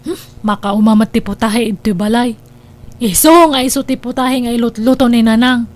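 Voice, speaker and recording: Woman acting greedy lazy young ilocano wife, really high voice, giggly n wheedling, comedy drama. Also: little pitch movement, moderate pace, lightly acted. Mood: sheepish. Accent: Filipino, Ilocano. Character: greedy, lazy young wife who mooches meals, cheery.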